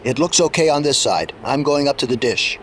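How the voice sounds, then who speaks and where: A man's voice: mid-range voice, expressive, brisk, a little hiss or hum, close to an everyday voice. A man playing a middle-aged engineer and former sidekick in an audio drama, world-weary and bitter, with a casual, wry delivery. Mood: businesslike.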